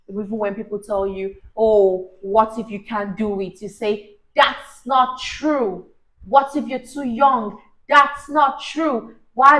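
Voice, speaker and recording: High-pitched, unhurried woman reading to children. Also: low-quality recording. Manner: expressive, encouraging, teacherly, prompting the listener with questions. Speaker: children's story reader and teacher. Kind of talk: animated read-aloud for a young child with explanations and questions. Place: small indoor room on a sofa. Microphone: lapel mic.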